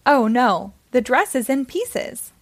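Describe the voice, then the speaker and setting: A woman's voice: high-pitched, big pitch swings, slow, full character voice. A woman voicing a sweet, overworked young heroine in a children's storybook, speaking plainly and plaintively. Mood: worried.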